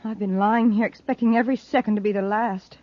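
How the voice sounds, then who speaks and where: An actress playing an earnest young heroine in an old-time radio space serial. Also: female voice, mid-range voice, steady tone, quick pace, lightly acted. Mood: relieved, anxious. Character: earnest young woman rescued from captivity. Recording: dull old radio recording.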